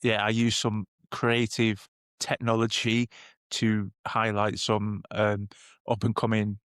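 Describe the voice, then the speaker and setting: Man, late thirties, lowish voice, dry close sound, casual, hesitant, self-correcting. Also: monotone, takes it slowly, a bit bright, smooth, no echo. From northern England. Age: late 30s. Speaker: podcast co-host. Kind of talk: casual chat.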